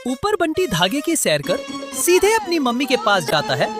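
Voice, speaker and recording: Theatrical kids cartoon narrator, lively bit high voice, music behind. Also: normal speed. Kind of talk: playful scripted cartoon narration.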